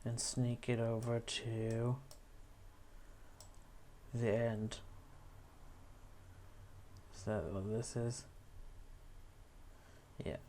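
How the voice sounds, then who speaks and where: Slow-paced person thinking aloud while video editing. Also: mid-range voice, little pitch movement, dark tone, a little hiss or hum. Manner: musing, talks to self while working. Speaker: video editor narrating own editing session. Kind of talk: casual screen-recording commentary, thinking aloud.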